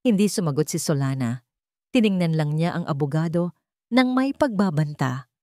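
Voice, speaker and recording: A woman narrating a scripted dramatic story in an even, dramatic storytelling manner. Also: deep voice, moderate pace, dry recording, studio quality.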